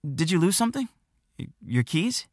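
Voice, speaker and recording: A man acts out a teenage boy from a storybook. He is courteous and eager but socially awkward, with animated pitch and a dry, close sound. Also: moderate pace, dry recording. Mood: polite, helpful. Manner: awkward, polite.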